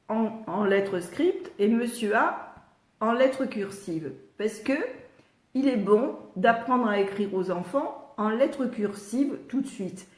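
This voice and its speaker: An older woman, a retired speech therapist, explaining reading to parents in a chatty live question-and-answer session, slightly congested with a cold. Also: medium pitch. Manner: chatty, explanatory, addresses viewers by name. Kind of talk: live Q&A teaching. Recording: roomy echo.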